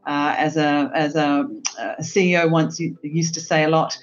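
A woman's voice: sits low, level tone, normal speed. Upbeat Australian woman, lo-fi sound. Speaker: panelist, HR executive. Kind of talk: panel podcast introduction.

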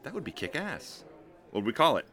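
A young man's bright, mid-pitched voice swings freely up and down. An actor plays a cocky, bantering sports fan and loyal buddy in a radio play. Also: a little fast, soft background music. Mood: keen. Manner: bantering, animated. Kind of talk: audio drama acting.

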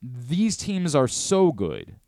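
A man's voice: fairly high pitch, wildly swooping pitch, slow pace, mellow, dry recording. A man hosting sports talk radio in a studio, giving his opinions with emphasis.

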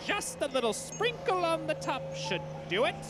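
A man's voice: extremely high pitch, very animated, normal speed, over loud music. An actor playing an overmedicated, odd teenage boy, whiny and sing-song with a creepy whisper.

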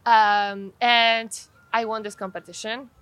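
A woman's voice: fairly high pitch, level tone, slow, a bit bright, no echo, rough recording. A woman from Poland, an athlete and trainer answering interview questions earnestly, restarting sentences as she searches for words.

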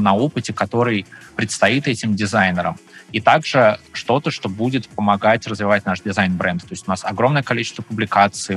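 Male presenter, rather low husky voice, conversational explainer peppered with English jargon, faint music underneath. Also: a bit bright. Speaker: designer giving a presentation. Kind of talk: informal explanatory conference-style talk.

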